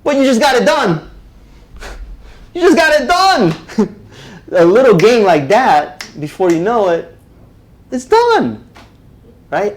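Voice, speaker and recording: A man from Romania, teaching a live public speaking workshop, energetic and often checking in with the group. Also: high voice, slight background noise. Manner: energetic, checks in with "right?" constantly.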